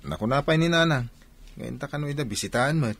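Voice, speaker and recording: An actor playing a stern, bossy older brother in a radio drama, insistent and reproachful. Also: male voice, mid-range voice, lively intonation, quick pace, a bit bright. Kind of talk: Filipino radio drama acting.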